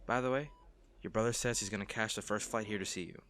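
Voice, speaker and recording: A man playing a kind, bashful stranger in an intimate audio roleplay, speaking gently and playfully with some flustered hesitation. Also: on the low side, quick pace, slight background noise, lightly acted. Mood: caring, playful. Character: kind, bashful male stranger comforting an injured crash survivor.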